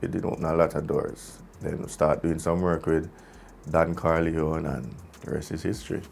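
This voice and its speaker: A Jamaican man, a musician, telling a story casually in an animated way, with patois phrasing. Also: rumbling low, moderate pace, creaky, a little hiss or hum. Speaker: musician, singer in a group.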